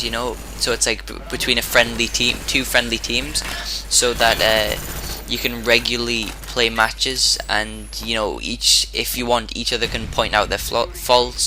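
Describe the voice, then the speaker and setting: Hoarse, bright-voiced young gaming commentator man. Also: mid-range voice, level tone, normal speed, few pauses, a little hiss or hum, poor audio. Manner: rambling, stops and restarts sentences. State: hoarse from a sore throat. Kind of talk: casual gameplay commentary.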